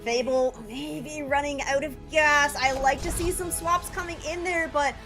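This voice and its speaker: A woman's voice: high voice, fairly even intonation, unhurried, music in the background. A woman giving analytical esports commentary in a conversational, explanatory way.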